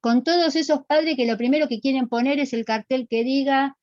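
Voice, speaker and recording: A woman's voice: sits high, fairly even intonation, a little fast, a little mellow, no room sound, dull audio. A middle-aged woman with a Rioplatense Argentine accent lecturing to students in a didactic, emphatic way, checking they follow with short questions. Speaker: physical education lecturer.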